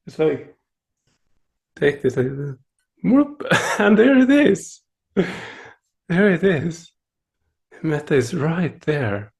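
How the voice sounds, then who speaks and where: A middle-aged man from Sweden with a Swedish accent, a native Swedish speaker, giving a gentle, playful meditation talk over a video call, often chuckling and pausing to search for words. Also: a little high, sing-song, vocal fry. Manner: unhurried, with pauses and searching for words. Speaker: meditation teacher. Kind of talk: dharma talk at an online retreat.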